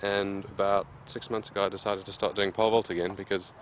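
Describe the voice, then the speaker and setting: A man in his late twenties, answering interview questions in a plain, steady way with many pauses. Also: on the low side, highs cut off, a little hiss or hum. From Zimbabwe. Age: late 20s. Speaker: interviewed athlete.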